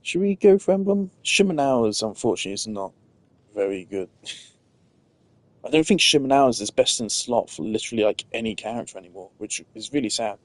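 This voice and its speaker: A man's voice: mid-range voice, animated, brisk, no room sound. A man giving casual gameplay commentary, rambling as he thinks aloud. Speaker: gamer.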